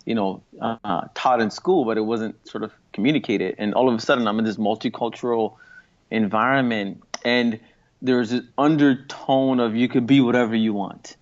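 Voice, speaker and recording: A man around forty from Singapore, talking over his past in an interview, pausing and restarting as he thinks. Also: medium pitch, fairly even intonation. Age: late 30s or 40s. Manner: thoughtful, halting with restarts and fillers. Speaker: guest. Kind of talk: reflective interview talk. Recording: muffled lo-fi audio.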